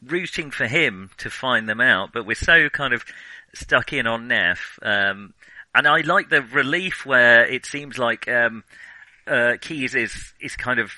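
A man's voice: medium pitch, unhurried, bright, forceful tone, no echo, poor audio. A man co-hosting a film podcast, talking casually and hesitantly, thinking aloud with digressions and a nerdy enthusiasm. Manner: self-confessed nerdy enthusiasm.

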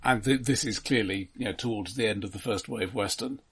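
Slightly husky man discussing films. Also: fairly low pitch, fairly quick. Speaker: podcast co-host discussing films. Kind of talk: casual film discussion.

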